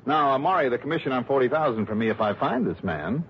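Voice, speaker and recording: An actor playing a wry, wisecracking, self-assured insurance investigator in a 1960s radio detective drama. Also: male voice, medium pitch, animated, quick pace, slightly rough. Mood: breezy, teasing. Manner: wisecracking, breezy. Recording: dull vintage radio broadcast recording.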